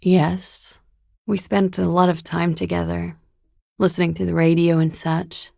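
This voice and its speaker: A guarded, melancholic young woman on the run, speaks in a very low voice full of creak. Her delivery is subdued and confessional, breathy at the edges. The sound is very dry and close, with an intimate, evasive weariness. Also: level tone, measured pace, a little mellow, dry recording, exaggerated voice. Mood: wary, confiding. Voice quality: low, breathy, husky and gravelly. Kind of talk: video game character dialogue, intimate and understated.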